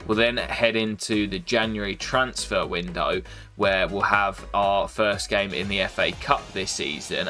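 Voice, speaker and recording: A young man with a nasal voice from a cold, commentating his football game in a casual play-by-play that jumps up excitedly at big moments. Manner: excitable, bursts up in pitch at goals and misses. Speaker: gaming YouTuber commentating his career mode.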